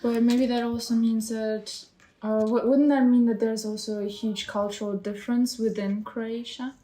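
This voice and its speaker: A woman's voice: a little high, level tone, normal speed, mellow. Young Ukrainian-born woman hosting. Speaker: volunteer podcast host. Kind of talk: podcast interview.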